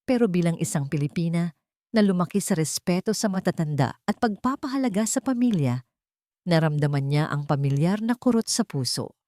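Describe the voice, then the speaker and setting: A woman's voice: fairly low pitch, expressive, brisk, mellow, dry recording. A woman reading a scripted story as a dramatic narrator.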